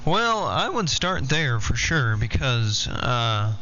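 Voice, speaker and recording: A man's voice: mid-range voice, big pitch swings, slow pace. A man chatting casually as an operator over CB radio. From United States. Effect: CB radio transmission. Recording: muffled, noisy CB radio audio with clicks.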